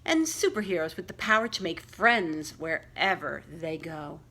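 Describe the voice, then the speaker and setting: A woman reading a children's story aloud like a school librarian, with deliberate, expressive storytelling phrasing aimed at young listeners. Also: low-pitched. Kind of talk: expressive picture-book read-aloud with questions to young listeners.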